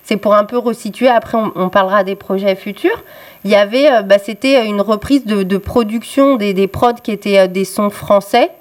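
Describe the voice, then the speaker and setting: A young woman hosts a radio interview at a middle pitch. She hesitates often and fills her pauses with drawn-out filler sounds as she shapes her questions. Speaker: radio host.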